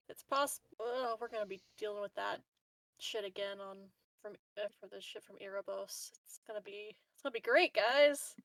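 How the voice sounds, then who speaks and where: A woman's voice: fairly high pitch, fairly bright tone, no echo. A woman playing a tabletop roleplaying game, bantering casually and loosely in character, joking and swearing.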